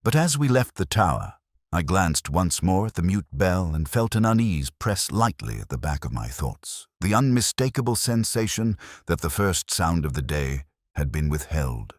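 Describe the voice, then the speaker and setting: A man narrating a literary detective story in the first person, in a measured, brooding and formal manner. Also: very deep voice, dry recording, studio quality.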